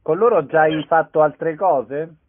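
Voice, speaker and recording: Higher-voiced man on a noisy phone line. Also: measured pace. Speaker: interviewer, host. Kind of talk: casual radio interview. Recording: noisy, tinny telephone-like line with clicks.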